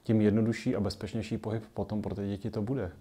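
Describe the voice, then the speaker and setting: A man in his forties from the Czech Republic, talking unscripted to camera in a halting way with many hesitant pauses. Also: on the low side, level tone. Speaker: children's group founder and carer. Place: small colourful indoor children's playroom.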